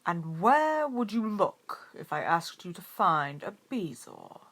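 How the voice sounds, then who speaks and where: A woman voicing a stern, sour male potions professor in a storybook reading, with slow, drawn-out, curt phrasing. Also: lowish voice, sing-song, a bit bright, heavily acted. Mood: slow, probing.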